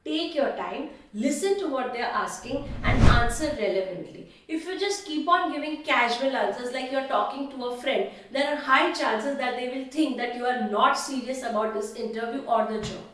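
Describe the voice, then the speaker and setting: A woman's voice: a little high, moderate pace, few pauses, a bit bright. A woman with an Indian accent presenting an instructional video as a coach, in an emphatic, encouraging manner. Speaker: host, teaching coach. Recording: very echoey room, distant mic.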